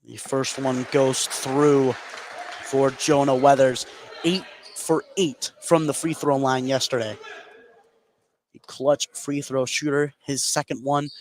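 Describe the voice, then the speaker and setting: A man's voice: medium pitch, fairly even intonation, slow pace, some chatter behind, a little hiss or hum. An American man giving live play-by-play commentary as a basketball announcer.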